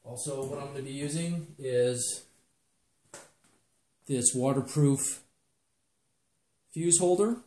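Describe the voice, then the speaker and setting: Male DIY instructor, plain and methodical, mid-range pitch, roomy echoey indoor sound. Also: takes it slowly, pauses often, mellow. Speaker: DIY project presenter. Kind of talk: instructional how-to narration. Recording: echoey room.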